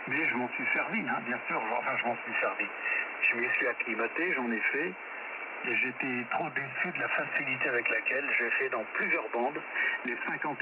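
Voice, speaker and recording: A middle-aged or older man chatting casually over amateur radio, rambling and often correcting himself, heard through a shortwave transmission. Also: medium pitch. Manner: hesitant, digressive, self-correcting. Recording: very noisy, narrow-band shortwave radio link.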